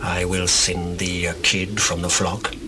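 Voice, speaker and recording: An actor playing grave, commanding men of the Bible in a solemn, declamatory style. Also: male voice, sits low, flat delivery, unhurried. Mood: low, measured. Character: grave, commanding biblical patriarchs and upright men. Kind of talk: dramatized scripture acting. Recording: noisy older audio drama recording.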